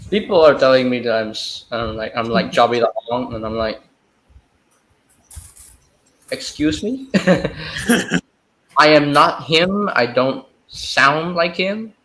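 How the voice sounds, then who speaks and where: A man's voice: medium pitch, a little slow, pushed and bright, low-quality recording. Malaysian man with an American accent. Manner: chatty, trails off with "so". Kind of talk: casual chat.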